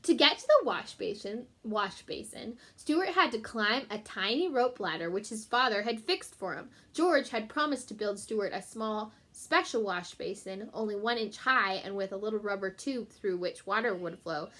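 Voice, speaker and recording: A woman teacher reading a children's book aloud in an expressive way, speaking directly to young listeners. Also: medium pitch, moderate pace, hardly any pauses. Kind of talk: children's book read-aloud with short explanatory asides.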